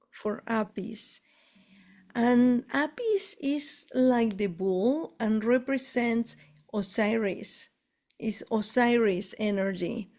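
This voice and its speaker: A woman from Argentina with an Argentine Spanish accent and Spanish as her first language, talking casually in halting English, often searching for words, as she shares spiritual travel experiences. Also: fairly high pitch, dark tone. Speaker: spiritual tour guide. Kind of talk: casual conversation.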